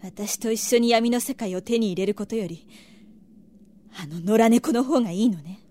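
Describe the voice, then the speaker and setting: A woman's voice: on the high side, normal speed, mellow, lightly acted. A woman acting a proud, seductive succubus noblewoman in an audio drama, cool and taunting. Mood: cold threat.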